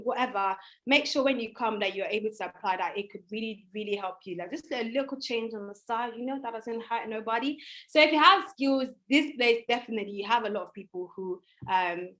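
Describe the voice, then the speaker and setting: A young woman with a fairly high-pitched voice hosts a casual student livestream. She speaks informally and encouragingly, offering practical advice in a chatty way. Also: fairly bright tone. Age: young adult. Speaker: student host of a live online event.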